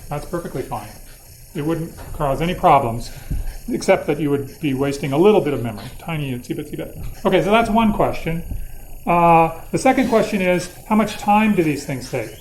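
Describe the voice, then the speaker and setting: A man's voice: on the high side, lively intonation, brisk, darkish tone, a little hiss or hum, poor audio. A man lecturing informally to a class, thinking aloud and posing questions with playful, wry asides. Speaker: university lecturer.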